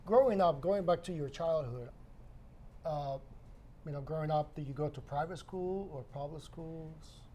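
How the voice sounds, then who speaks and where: A man's voice: a little high, moderate pace, mellow, a little hiss or hum. A man hosting an interview show, asking curious questions in a chatty way and often restarting his sentences. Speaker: host. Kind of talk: interview host.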